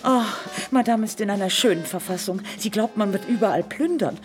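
An actress in a radio play playing a gossipy, sardonic Parisian housemaid. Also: female voice, mid-range voice, fairly quick, over music, natural voice. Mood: mocking, agitated.

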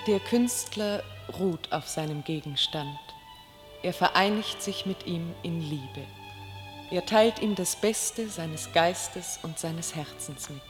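A woman reading a literary text aloud as a solemn, measured recitation. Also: lowish voice. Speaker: reader of a literary quotation. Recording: reverberant hall, music behind. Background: music.

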